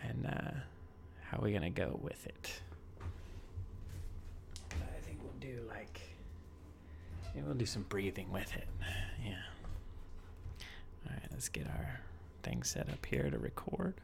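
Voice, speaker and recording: Soft-spoken gravelly man streaming. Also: sing-song, takes it slowly, long pauses, whispered. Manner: soft, low-key, rambling with many fillers. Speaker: music streamer. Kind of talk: relaxed live-stream chat while making music.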